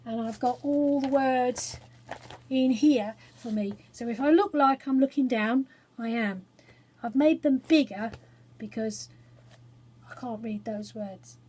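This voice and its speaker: Husky, higher-pitched English woman reading for children. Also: slow, warm tone. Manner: chatty, self-deprecating, explains things simply for children. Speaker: storyteller reading aloud to children. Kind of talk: informal chat and storybook reading for children. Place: small spare room study at home.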